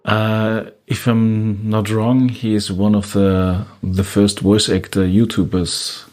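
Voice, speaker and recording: Male podcast interviewer, lowish voice, slow and casual delivery. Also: flat delivery, darkish tone.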